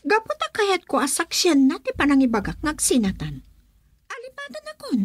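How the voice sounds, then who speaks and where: A woman's voice: high voice, wildly swooping pitch, normal speed, no room sound. An actress playing a blunt, practical young woman in a radio drama, insistent and with a Filipino accent. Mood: coldly resolute. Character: practical, blunt young daughter leaving her sweetheart for money. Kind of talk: Ilocano radio drama acting.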